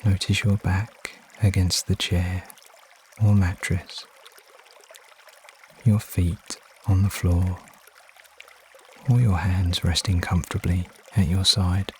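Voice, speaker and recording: A man narrates a guided meditation in a low-pitched voice. He gives soothing instructions without hurry, leaving frequent pauses between phrases. A faint wash of trickling stream water runs behind him. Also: slight background noise. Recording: steady water ambience behind voice. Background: trickling stream water sounds.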